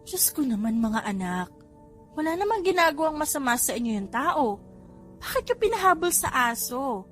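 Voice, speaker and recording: A high-voiced young mother acted by a woman reader, fond but a little exasperated. She scolds gently in storybook dialogue over faint music. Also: very animated, normal speed. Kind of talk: audiobook character dialogue.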